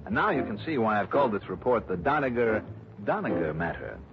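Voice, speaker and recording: A man's voice: on the low side, very animated, a little fast, over music. An American man playing a wry, weary insurance investigator in a 1960s radio drama, dry and sardonic. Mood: smug, wry. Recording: muffled old radio recording.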